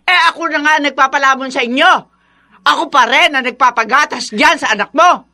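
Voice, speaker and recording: Shrill, nagging mother-in-law. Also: fairly quick. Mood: angry, scolding. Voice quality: sharp, piercing. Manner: scolding, bossy. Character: harsh, nagging older mother-in-law, domineering and scornful. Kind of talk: Filipino radio drama acting.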